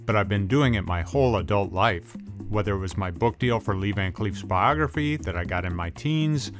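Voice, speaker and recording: Middle-aged male documentary host, low register, slangy tough-guy phrasing, light music bed. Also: animated, normal speed. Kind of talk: scripted documentary narration.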